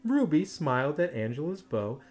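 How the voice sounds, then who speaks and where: Man reading a picture book, very animated pitch. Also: soft background music. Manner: animated, dramatic pauses and drawn-out words. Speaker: storybook reader. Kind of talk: expressive children's picture book read-aloud.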